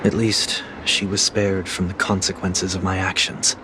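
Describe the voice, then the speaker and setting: Low-voiced, composed fugitive gentleman. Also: little pitch movement, background noise. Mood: resigned, remorseful. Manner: measured, polite, formal. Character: composed, courteous, guilt-laden fugitive man. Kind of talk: video game voice acting. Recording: loud music behind the voice. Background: loud game music.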